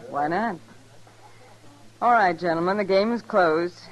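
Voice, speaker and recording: A woman's voice: lowish voice, unhurried, lightly acted, rough recording. A woman playing a cool, bold young card dealer in a radio western, self-possessed and challenging. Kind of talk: old-time radio western acting. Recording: old radio recording, saloon crowd behind.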